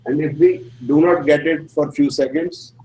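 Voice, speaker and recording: A man's voice: fairly high pitch, fairly even intonation, warm tone, slight background noise, poor audio. Indian man teaching a class. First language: Hindi. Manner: explains slowly in simple English, checks with "right?". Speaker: astrology teacher. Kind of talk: classroom lecture.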